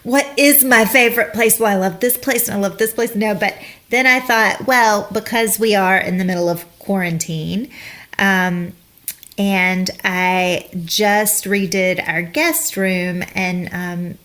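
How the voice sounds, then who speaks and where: A woman interior designer, a guest on a podcast, chatting casually, thoughtful and quick to laugh. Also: mid-range voice, steady tone, fairly bright tone. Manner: slow, thoughtful, laughs often. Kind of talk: casual chat.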